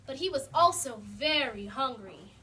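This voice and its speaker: Animated woman reading a children's story. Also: high voice, unhurried. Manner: animated, talks to the young audience. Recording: distant mic, faint noise.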